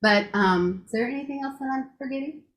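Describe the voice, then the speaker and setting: A woman's voice: high voice, brisk, a bit bright. Gushing chatty woman with a strong voice. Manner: gushing, chatty, laughs often. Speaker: guest giving a testimonial. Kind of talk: casual testimonial chat.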